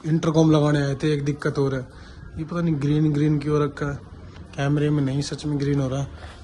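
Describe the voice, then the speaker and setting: Higher-pitched man vlogging over clear background noise. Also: little pitch movement, brisk, dark tone. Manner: informal, chatty, explaining as he works. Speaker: vlogger and CCTV installer. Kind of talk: casual vlog talk addressed to viewers. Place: on location at work sites. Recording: clearly noisy location sound, traffic.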